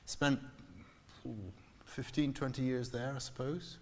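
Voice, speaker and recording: A man's voice: mid-range voice, level tone, measured pace, a little mellow. A middle-aged man, an astronomer from England who grew up in Scotland, answering onstage questions in a mild, hesitant way with dry wit. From England, raised in Scotland. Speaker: astronomer guest. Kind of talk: onstage interview. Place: hall with live audience. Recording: echoey hall.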